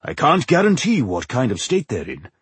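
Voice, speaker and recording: A man voicing an aged, frail dwarf king in a fantasy audiobook, wise and solemn, with a weathered voice and a slow, deliberate delivery. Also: medium pitch, huge pitch swings, breathy edge, no echo, voice pushed up, heavily acted. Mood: cautious, grave. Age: older.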